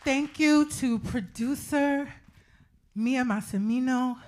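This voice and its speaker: A woman's voice: high voice, takes it slowly. A woman giving a thank-you speech to a live audience, calling out each name emphatically. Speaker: playwright and community engagement fellow thanking collaborators. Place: live event space before an audience. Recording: noisy live room with loud crowd.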